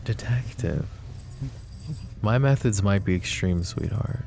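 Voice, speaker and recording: A man voicing a possessive, menacing mafia boss in a roleplay audio drama, talking slow and taunting, with sweet pet names. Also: fairly low pitch, music in the background. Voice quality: soft, smooth and taunting. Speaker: voice actor.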